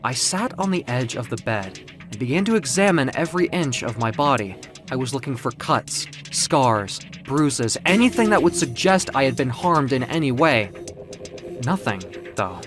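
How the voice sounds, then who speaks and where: A man narrating first-person audio fiction in a theatrical, expressive, confiding way. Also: mid-range voice, moderate pace. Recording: music under the voice. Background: music.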